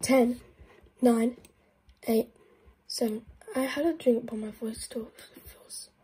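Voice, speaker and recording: Congested woman YouTuber, very husky and mellow tone, excitable gameplay chatter. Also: fairly high pitch, slow. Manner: excitable, with frequent whispered asides. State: congested, croaky voice. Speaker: gaming YouTuber. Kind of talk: casual gameplay commentary.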